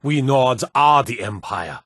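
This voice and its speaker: An actor playing a proud, stern middle-aged warrior lord in a fantasy game, with a commanding, measured delivery. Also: male voice, medium pitch, lively intonation, fairly bright tone, slightly rough, no echo, studio quality, heavily acted. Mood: solemn, resolute. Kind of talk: scripted fantasy game dialogue.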